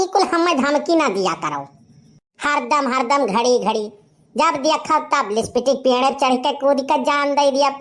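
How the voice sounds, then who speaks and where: A woman's voice: sits high, a bit bright. A woman playing a sassy, impatient young village woman in a cartoon comedy, scolding brazenly with an Awadhi accent. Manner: scolding, brazen, repetitive catchphrases. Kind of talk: animated comedy dialogue.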